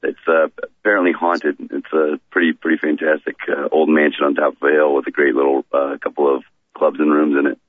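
A man with a remarkably deep voice speaks as a radio guest, easing through his answers with fillers and restarts. The sound comes down a dry, tinny phone line. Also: no echo. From Florida, raised in rural Maryland. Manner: relaxed, unhurried, with many fillers and restarts. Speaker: touring musician guest.

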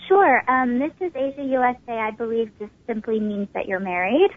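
A woman's voice: fairly high pitch, normal speed, slightly rough. A woman in her twenties with a small, tiny voice, answering interview questions politely with many ums. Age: 20s. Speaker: pageant winner guest. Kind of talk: phone interview. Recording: tinny phone line.

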